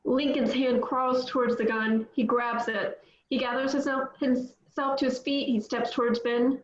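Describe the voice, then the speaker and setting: A woman reading stage directions aloud at a table read, steady and plain, with small slips. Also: a little high, unhurried. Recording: echoey, dull lo-fi audio.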